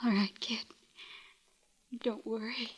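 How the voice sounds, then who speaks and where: An actress in 1940s radio melodrama, playing a fragile, devoted young wife with a breathy, tender, pleading manner. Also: female voice, fairly high pitch, steady tone, slow, a little hiss or hum, rough recording. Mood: weak, soft.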